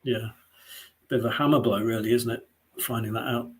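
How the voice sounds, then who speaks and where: A man's voice: medium pitch, fairly even intonation, a little fast. A man competing in a pun contest, amused and chuckling, with hesitant fillers, over a video call. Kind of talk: quick-fire pun banter.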